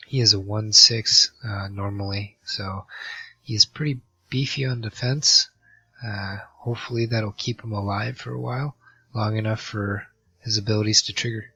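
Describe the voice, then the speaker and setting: A hoarse man with a cold commentating an online card game match, reading out card text with many halting fillers. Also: lowish voice, steady tone, pushed and bright, dry recording. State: hoarse from a virus. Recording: dull lo-fi mic with faint music.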